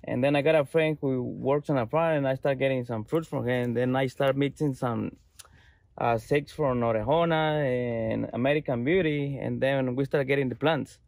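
A middle-aged man, a grower, giving casual, halting interview answers in non-native English outdoors on a farm. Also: medium pitch, creaky, darkish tone, no echo. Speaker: fruit grower being interviewed.

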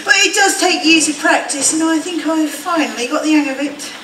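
A guileless, cheerful clown of a builder played by a woman, high-pitched and projected. It is pantomime double-act comedy, heard in an echoey hall over clear background noise. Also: brisk, pushed and bright. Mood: cheerfully daft. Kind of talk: pantomime cross-talk comedy. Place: theatre stage. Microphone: distant camera in audience. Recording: echoey hall from far off, noisy.

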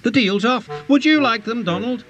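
A man voicing a cheeky, bright small tank engine in a children's story, teasing and mock-innocent. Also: very high voice, moderate pace, highs cut off, pitched above the performer's own voice.

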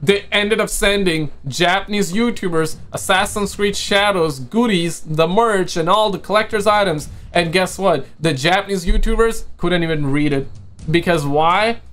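A man's voice: sits high, moderate pace, a bit bright. A man streaming from home with a headset, reacting in a casual, slangy, mocking way, repeating himself; English is not his first language.

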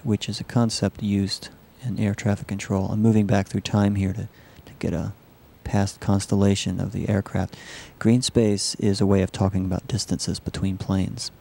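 Male technical demonstrator, low voice with plenty of fry, halting and explanatory. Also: a little mellow. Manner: halting, measured explanatory delivery. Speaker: software researcher demonstrating a system. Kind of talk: live demo narration of a computer animation.

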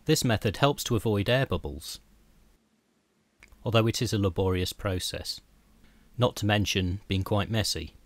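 A middle-aged man with a slightly low voice. He explains each workshop step in an even, methodical voice-over, like a careful host of his own woodworking channel. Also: moderate pace, long pauses, no room sound. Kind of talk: scripted how-to voice-over.

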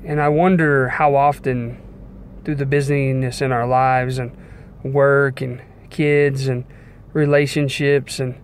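A young man speaks at a middling pitch, delivering a devotional message like an informal sermon. His manner is earnest and measured, with pauses between short phrases. He is recorded on a phone inside a car, with some background noise around the voice. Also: flat delivery. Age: young adult. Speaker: preacher giving a devotional message. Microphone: phone at arm's length.

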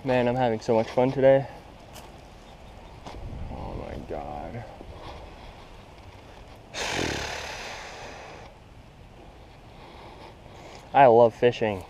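A man's voice: medium pitch, wildly swooping pitch, very slow, vocal fry, pauses often, darkish tone, slight background noise. Young man muttering while fishing outdoors. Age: young adult. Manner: sarcastic, mutters to himself. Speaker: fishing vlogger. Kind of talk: casual running commentary while fishing. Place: outdoors by ponds in tall grass. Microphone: head-mounted action camera.

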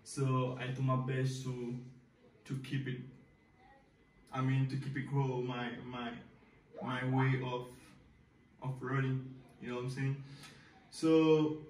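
A man's voice: mid-range voice, little pitch movement, slow pace, pauses often, a little mellow, far from the mic, slight background noise. A young man from Haiti with a Haitian Creole accent, speaking as a job applicant in a hesitant, self-recorded video with many restarts. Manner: hesitant, repeats words, often says "you know what I'm saying". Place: small bedroom with a desk and computer, indoors. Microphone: far camera, filmed from the side. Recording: echoey room, voice from afar.

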